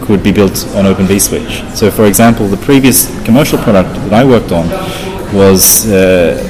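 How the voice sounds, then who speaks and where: A man with a fairly low voice answers technical questions as an interview guest. He circles back and rephrases as he explains. A busy crowd chatters behind him. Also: measured pace. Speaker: interview guest, open source project founder. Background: crowd of people talking.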